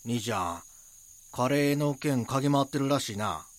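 An actor playing a rough, informal and grudging local man with a Kansai accent in an audio drama. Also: mid-range voice, animated, normal speed, no echo, natural voice. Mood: accusing. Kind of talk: Japanese audio drama dialogue.

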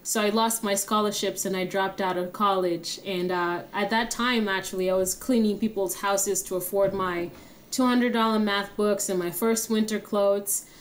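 A young woman, a guest telling her story in an interview. Her voice sits at a middling pitch for a woman. She speaks in a friendly, steady way and laughs easily. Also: normal speed. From Kenya. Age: young adult. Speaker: guest, app founder.

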